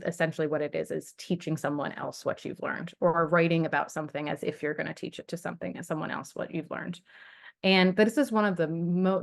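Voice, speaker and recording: A woman giving a casual webinar presentation on study skills from slides, chatty and encouraging, correcting herself as she goes, on a computer mic. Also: fairly low pitch.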